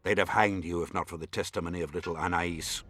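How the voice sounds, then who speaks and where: A man's voice: deep voice, fairly even intonation, fast, a bit bright, faint music behind. A man playing a haughty, scornful nobleman in a fantasy video game, with imperious, formal diction. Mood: cold, contemptuous. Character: haughty scornful nobleman, baron vying for a throne. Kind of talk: dramatic fantasy game acting.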